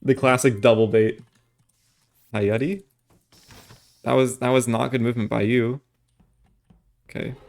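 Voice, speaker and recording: A man's voice: medium pitch, unhurried, creaky, pauses often. A male streamer chatting while he plays and reading out thanks to his viewers one by one. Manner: reads out thanks for subscriptions in a flat list. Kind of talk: casual gaming livestream chat.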